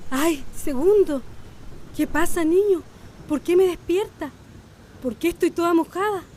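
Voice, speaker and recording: A woman's voice: very high-pitched, unhurried, dark tone, slight background noise. A woman playing an anguished rural mother in a radio drama, with a Chilean accent and an emotive, tender rustic way of speaking. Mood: groggy, troubled. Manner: emotive, tender rustic speech to her child.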